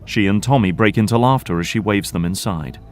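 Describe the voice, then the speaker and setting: Guy narrating an audio drama, lowish voice, bit of music behind him. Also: a little fast. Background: background music.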